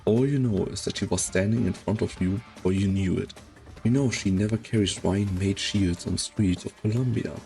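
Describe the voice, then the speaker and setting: A man narrating a game story in a measured, solemn, dramatic scripted style. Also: deep voice, creaky, warm tone, soft background music.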